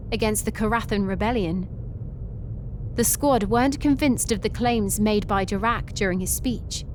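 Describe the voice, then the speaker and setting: A woman narrating a science-fiction story in an even, scripted way. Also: medium pitch, background noise.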